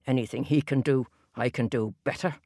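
A woman voicing a huge, gruff, boastful male giant in a told folktale, in a loud, blustering way. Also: normal speed, no echo, studio quality. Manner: booming, blustering.

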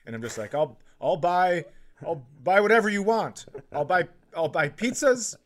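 A man's voice: sits high, lively intonation, moderate pace. A middle-aged man co-hosting a podcast, chatting casually and telling stories with comic exaggeration. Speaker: podcast co-host. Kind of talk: casual comedic chat.

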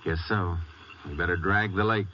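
An actor playing a calm, shrewd Texas Ranger with an American accent and laconic manner in a 1950s radio western. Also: male voice, deep voice, moderate pace, natural voice. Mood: terse. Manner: laconic, understated. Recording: noisy, dull old radio recording.

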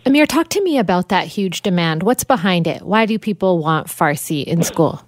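A woman's voice: on the low side, animated, moderate pace, dry recording. A woman hosting a radio interview, asking direct, probing questions.

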